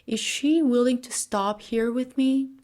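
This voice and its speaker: A woman's voice: on the high side, a little slow, a little mellow, natural voice. Woman reading as a formal, commanding storybook beast. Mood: stern, formal. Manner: archaic, stately wording. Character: formal, commanding male beast of an enchanted house.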